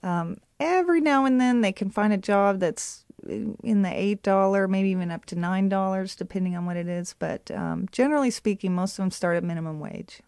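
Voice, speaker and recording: A woman's voice: mid-range voice, moderate pace, vocal fry, few pauses, darkish tone, smooth, no room sound, studio quality. A woman answering as an expert guest in a radio interview. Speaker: workforce program representative, radio guest.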